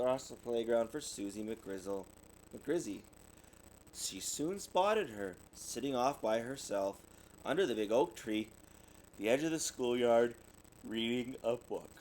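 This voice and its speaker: A man's voice: mid-range voice, a little mellow, a little hiss or hum, rough recording. A man reading a children's story aloud expressively, like a parent, with the occasional stumble over words. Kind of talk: animated storybook narration.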